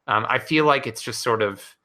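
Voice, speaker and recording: A man's voice: mid-range voice, normal speed, pushed and bright. A man co-hosting a gaming podcast, talking casually and enthusiastically with many fillers. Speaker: podcast co-host. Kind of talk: casual chat.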